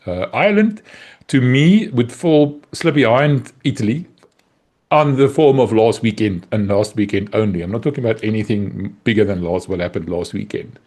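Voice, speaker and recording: A man's voice: on the low side, moderate pace, darkish tone. A man with an Afrikaans accent co-hosting a rugby podcast, talking in an easygoing, teasing way late at night, sounding a little tired. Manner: easygoing, teasing, thinks aloud. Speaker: podcast co-host. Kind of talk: casual chat.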